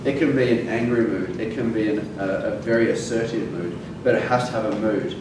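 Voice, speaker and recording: A man's voice: on the low side, brisk. Man lecturing in an echoey room. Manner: emphatic, stresses words for effect, frequent fillers. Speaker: fitness educator. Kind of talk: classroom lecture. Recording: very echoey room from far off, noisy.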